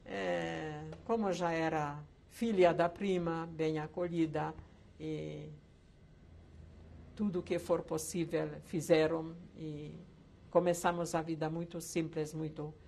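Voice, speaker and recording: An older woman from Czechoslovakia, once a camp survivor, telling her life story slowly with hesitant pauses in an oral history interview. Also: sits low, level tone, gravelly, warm tone. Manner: slow reflective storytelling with hesitant fillers.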